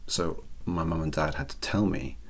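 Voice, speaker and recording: Guy doing soft spoken q&a, super low voice, dry self-deprecating humour, bit of background noise. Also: normal speed. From Wales. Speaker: ASMR channel host. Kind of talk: soft-spoken Q&A, reading out questions and answering.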